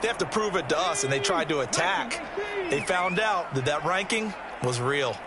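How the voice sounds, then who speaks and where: Folksy high-voiced male color commentator. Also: animated, normal speed, heavy background noise. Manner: folksy, analytical, conversational. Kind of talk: sports video game commentary. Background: game music.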